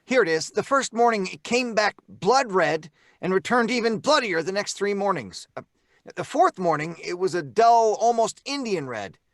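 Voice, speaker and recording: A man's voice: high-pitched, animated, moderate pace, a bit bright, no echo, clean studio sound. A man playing an eager, methodical youthful son with a boyish manner in an old-time radio drama. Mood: eager, studious. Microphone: microphone on a video call.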